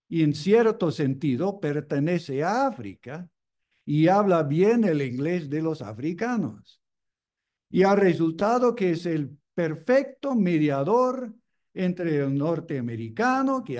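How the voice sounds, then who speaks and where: Slow, deliberate male preacher. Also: sits high, dark tone. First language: English. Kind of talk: sermon.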